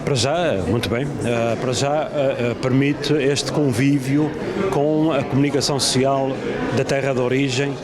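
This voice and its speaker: Male interviewee, mid-pitched voice, measured and hesitant, formal wording, noticeable crowd around him. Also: steady tone. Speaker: newspaper representative being interviewed. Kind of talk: spontaneous interview answers. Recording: crowded urban setting, background chatter. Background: crowd noise.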